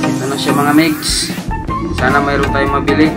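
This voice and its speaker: A man's voice: mid-range voice, completely flat delivery, normal speed. A man chatting casually to his viewers as a motorcycle vlogger, often repeating himself. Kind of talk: casual vlog chat. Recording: music over ride, vehicle and ambient noise.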